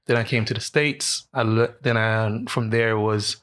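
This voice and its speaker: A man's voice: fairly low pitch, unhurried. A man in his late thirties from the Bahamas, telling funny personal stories as a guest in a casual chat, laughing often. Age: 30s. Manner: animated storyteller, laughs often. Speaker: podcast guest. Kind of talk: casual storytelling interview.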